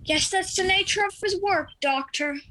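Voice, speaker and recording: A woman's voice: very high voice, normal speed, sharp tone. A woman playing a mad scientist's blunt, resigned assistant in an audio drama, wry and matter-of-fact.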